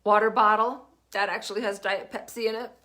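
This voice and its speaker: An older woman hosting a casual livestream, chatty and meandering, her throat still rough from a lingering cough. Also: medium pitch, fairly even intonation, fairly quick. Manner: chatty, warm-hearted, meandering with fillers. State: recovering cough, cough drop in mouth. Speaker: podcast host. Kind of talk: casual livestream talk to viewers.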